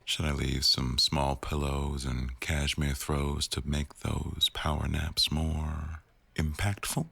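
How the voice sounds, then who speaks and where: A man playing a teasing, bossy but doting newsroom boss in an intimate boyfriend roleplay audio, with a wry, unhurried delivery. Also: rumbling low, level tone, gravelly, bright, forceful tone, husky, no room sound, heavily acted. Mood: wry, fond.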